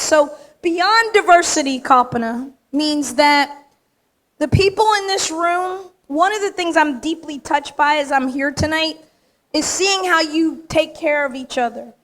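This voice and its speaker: A woman with a high, slightly raspy voice delivers an acceptance speech to a full room. She talks straight and with emphasis, landing her points in short, punchy phrases. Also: a bit bright. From United States. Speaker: award recipient giving an acceptance speech. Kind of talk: acceptance speech to a live audience. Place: large event room with audience.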